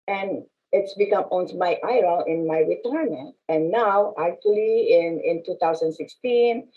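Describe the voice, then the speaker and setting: A middle-aged woman from the Philippines with a Filipino accent, speaking as an interview guest in an animated, halting way with frequent restarts. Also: medium pitch. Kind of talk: casual interview talk. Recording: slightly dull lo-fi sound.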